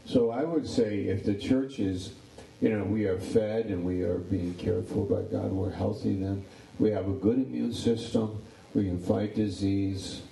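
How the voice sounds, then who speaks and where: A man's voice: on the low side, steady tone, unhurried, gravelly, few pauses, warm tone, reverberant, distant mic, poor audio. A pastor in his sixties chatting casually about his ministry, rambling and repeating words. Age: 60s. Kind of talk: casual interview chat.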